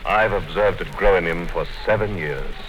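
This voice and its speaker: An actor in a 1950s radio drama, playing a calm, quietly menacing male party official. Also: deep voice, normal speed, rough recording. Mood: measured. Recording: old radio broadcast, faint hiss.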